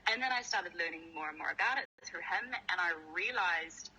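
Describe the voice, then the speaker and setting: A woman's voice: fairly low pitch, expressive, brisk, pushed and bright, slightly rough, rough recording. A woman with a New Zealand accent, a company co-founder, chatting in an interview over a video call in a friendly, self-deprecating way with many fillers. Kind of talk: casual interview.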